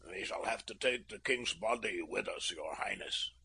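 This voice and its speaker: A man reading an audiobook, voicing a polite, ironic army lieutenant in a measured, slightly lower voice. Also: lively intonation, vocal fry, bright, forceful tone, breathy edge, full character voice.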